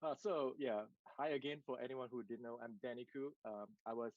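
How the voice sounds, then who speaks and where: A man from Malaysia, answering interview questions over a video call with hesitant pauses. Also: medium pitch, dry recording, narrow, tinny audio. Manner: hesitant, many filler pauses. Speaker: game company director.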